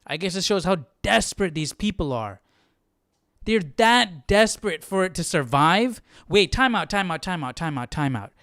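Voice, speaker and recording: Dude reacting on a bible study stream, higher voice, casual and kinda disbelieving, dry mic. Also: male voice, no room sound. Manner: incredulous, exclamatory, repeats himself. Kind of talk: casual live reaction commentary.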